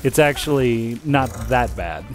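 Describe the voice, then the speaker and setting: A man's voice: mid-range voice, lively intonation, a little slow, over music. An American man chatting casually with a friend while playing an online game, rambling with lots of fillers. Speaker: gamer streaming with a friend.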